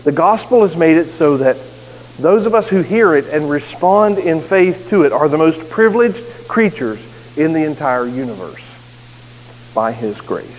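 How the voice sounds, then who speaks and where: A man's voice: a little high, expressive, moderate pace. American man preaching in an echoey sanctuary. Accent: neutral American. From United States. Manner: earnest preaching with self-deprecating humor. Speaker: pastor. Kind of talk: sermon. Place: church sanctuary. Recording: echoey sanctuary with faint hiss.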